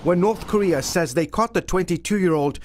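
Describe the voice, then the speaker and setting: A man narrating a television news report in a measured reporter style. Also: high voice, a little hiss or hum.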